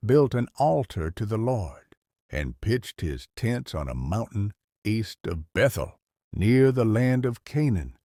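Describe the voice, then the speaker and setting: Husky, slow-paced male storyteller. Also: lowish voice, very animated, warm tone, no room sound, studio quality. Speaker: narrator. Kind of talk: solemn scripted storytelling narration.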